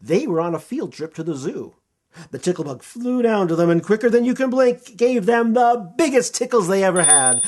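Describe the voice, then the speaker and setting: A man reading a children's storybook aloud as storyteller, with expressive, dramatic emphasis. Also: high voice, moderate pace.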